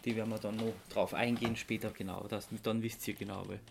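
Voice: medium pitch, flat delivery, fast, creaky, background noise. A podcast co-host, explaining things informally in casual conversation.

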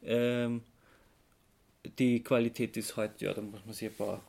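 Austrian guy, podcast cohost, medium pitch, explains stuff in a casual halting way. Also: little pitch movement, gravelly, darkish tone, a little hiss or hum. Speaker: podcast co-host.

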